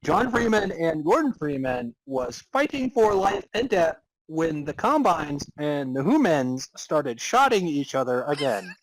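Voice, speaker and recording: A man's voice: on the high side, sing-song, unhurried, few pauses, poor audio. A man narrating a comic story in a theatrical way, prone to breaking into laughter. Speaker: narrator and podcast host. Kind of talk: dramatic reading of comic fan fiction.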